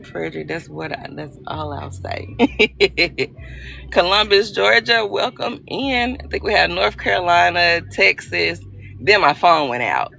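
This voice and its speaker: Chatty woman with a gravelly edge. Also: lowish voice, animated, measured pace, sharp tone, a little hiss or hum. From Arkansas. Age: 40s. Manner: chatty, playful, self-deprecating, greets viewers by name. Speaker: livestream host. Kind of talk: casual live-stream chat with viewers.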